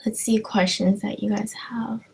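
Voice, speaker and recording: A woman's voice: mid-range voice, level tone, unhurried, creaky, darkish tone, husky, rough recording. A young woman from China chatting informally as a livestream host, rambling and hesitant as she addresses her viewers. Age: young adult. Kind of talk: casual livestream chat answering viewer questions.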